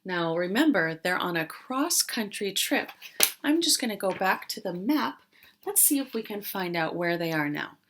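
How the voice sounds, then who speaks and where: A woman teacher doing an interactive read-aloud for young children, explaining as she goes and pausing after questions. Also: mid-range voice, very animated, noisy background. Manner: questioning, explanatory, with pauses for answers.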